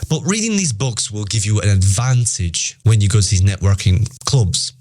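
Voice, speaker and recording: A man's voice: fairly low pitch, animated, normal speed, darkish tone. A young British man with a British accent, giving a casual motivational talk to teenagers, emphatic and repetitive. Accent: British English. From Britain. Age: young adult. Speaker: podcast host.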